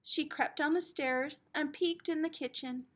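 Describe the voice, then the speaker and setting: A woman teacher reading a children's storybook aloud in an expressive, dramatic storytelling manner. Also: high voice, a little slow.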